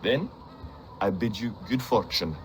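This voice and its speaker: An actor playing a courteous, curious naval captain and explorer in a video game drama, speaking formally and politely. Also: male voice, fairly low pitch, expressive, measured pace, faint music behind. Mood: cordial.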